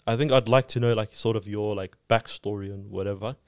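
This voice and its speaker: A man's voice: on the low side, fairly quick, clean tone, no echo, studio quality. A man born in Somalia, hosting a casual interview podcast and talking loosely with lots of fillers. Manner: relaxed, filler-heavy, says "like" and "type of thing" often. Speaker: podcast host.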